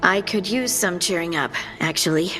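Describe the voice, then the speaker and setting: A woman's voice: lowish voice, normal speed, pushed and bright, long reverb tail, faint music behind. A woman playing a friendly, thoughtful dwarf scout in a video game, talking in an earnest, conversational way. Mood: troubled.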